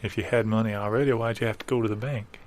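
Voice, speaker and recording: A man's voice: on the low side, steady tone, fast talker, lightly acted. An actor playing a naive, literal-minded young man, polite and hesitant, in improvised audio comedy. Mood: naively deadpan.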